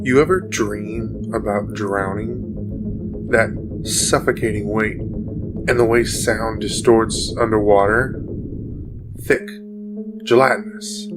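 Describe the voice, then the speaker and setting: A man playing a haunted, battered, half-dead survivor in a gothic audio drama, sounding raspy and exhausted. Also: fairly low pitch, fairly even intonation, takes it slowly, pauses often, over loud music, low-quality recording. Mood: haunted, unsettled. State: exhausted, shaky.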